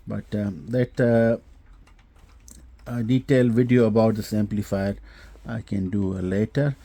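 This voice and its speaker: A man giving an unscripted technical product demonstration, explaining haltingly with frequent hesitations and repeats. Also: on the low side, steady tone, mellow. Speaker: host demonstrating audio equipment.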